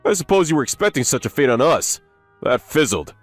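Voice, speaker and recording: A man's voice: medium pitch, sing-song, a little fast, faint music behind. A man playing a pompous, crooked office superior in an English manga dub. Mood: smug, stern.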